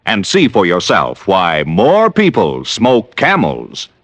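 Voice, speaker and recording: A man's voice: low voice, huge pitch swings, takes it slowly, a bit bright. A man reading a scripted vintage radio advertisement as announcer, with an emphatic sales delivery. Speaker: commercial announcer.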